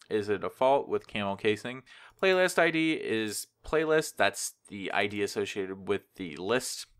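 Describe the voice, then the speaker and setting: A man's voice: lowish voice, bright, forceful tone. A man hosting a screencast coding tutorial, explaining things in an informal, conversational way. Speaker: tutorial host, web developer.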